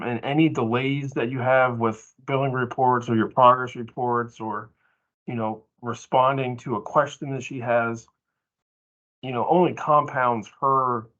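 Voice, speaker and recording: A man's voice: mid-range voice, a bit bright. A man presenting informally at a staff training, explaining in short, broken phrases over muffled meeting audio.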